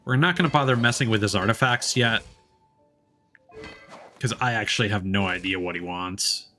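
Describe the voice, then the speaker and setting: Low-voiced man chatting over music. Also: moderate pace, bright, forceful tone. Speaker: livestreamer playing a game. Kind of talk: casual gaming stream chat.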